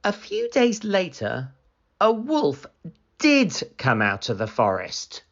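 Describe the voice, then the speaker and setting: A woman narrates a children's picture book in a low register. Her delivery is very slow and swings dramatically up and down, with deliberate, animated storytelling. The recording is a little dull and lo-fi.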